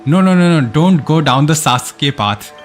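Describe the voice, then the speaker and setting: A man's voice: a little high, animated, normal speed, faint music behind. A man live streaming a game, reading its story text aloud with casual asides, sometimes stumbling over words and correcting himself.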